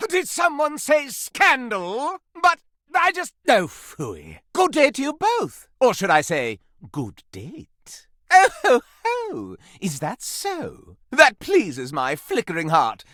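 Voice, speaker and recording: A living candelabra from a video game, a flamboyant gossip who is easily scandalized. The delivery is grandly theatrical and mock-archaic, leaping across a huge pitch range with a bright, cutting edge and slow, drawn-out relish, in a dry close recording. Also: male voice, huge pitch swings, no room sound, heavily acted. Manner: theatrical, mock-archaic, dramatic exclamations and coy asides. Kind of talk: animated video game character acting.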